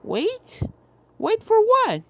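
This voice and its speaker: A woman's voice: very high voice, huge pitch swings, very slow, fairly bright tone, pitched above the performer's own voice, full character voice. A woman voicing a plucky, stubborn young filly who hunts ghosts, spirited and determined, in a story reading. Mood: alarmed. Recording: music behind the voice.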